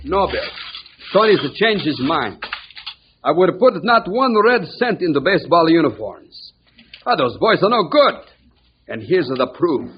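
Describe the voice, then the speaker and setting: An actor playing a proud, emphatic immigrant shopkeeper who speaks broken English with a foreign accent in a radio drama. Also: male voice, fairly high pitch, sing-song, a little slow, a little hiss or hum. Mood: indignant. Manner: broken English, emphatic, refers to self by name. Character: proud, good-hearted but touchy immigrant storekeeper. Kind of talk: old-time radio drama acting. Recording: muffled old radio broadcast recording.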